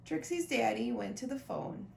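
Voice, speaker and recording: A woman reading a children's picture book aloud expressively, pausing to talk directly to young listeners about the pictures. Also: on the high side, animated, creaky. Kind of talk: animated children's storybook read-aloud with asides. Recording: roomy echo, faint background noise.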